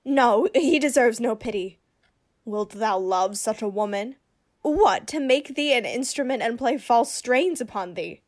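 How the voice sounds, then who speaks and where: A woman acting a witty young noblewoman disguised as a male youth in a Shakespearean radio play, with brisk, teasing, theatrical delivery. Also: a little high, animated, darkish tone. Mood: commanding.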